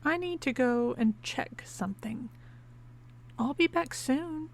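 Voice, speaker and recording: A brisk, secretive academic investigator played by an actress in a horror audio drama. She sounds decisive and clipped, with a fairly high voice that often drops into gravelly creak. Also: animated, no room sound, full character voice. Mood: resolute. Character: determined, self-reliant female academic doctor, brisk and secretive.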